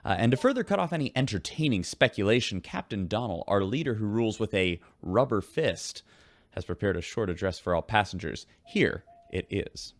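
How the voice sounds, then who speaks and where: A man's voice: lowish voice, animated, brisk, no echo. An actor playing a wry, showy male radio host aboard a spaceship in a sci-fi audio drama. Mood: playfully gossipy.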